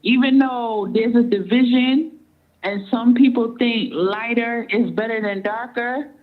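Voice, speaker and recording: Slow-talking woman on a tinny phone line, measured and deliberate. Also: on the high side, steady tone. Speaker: guest caller. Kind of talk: casual radio talk.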